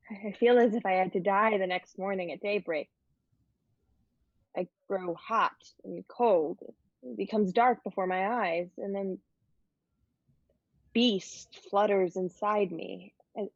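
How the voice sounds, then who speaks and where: A woman playing a naive, ailing fourteen-year-old girl, earnest and halting, in a dramatic reading of an old play. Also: medium pitch, no echo, lo-fi sound. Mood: wistful.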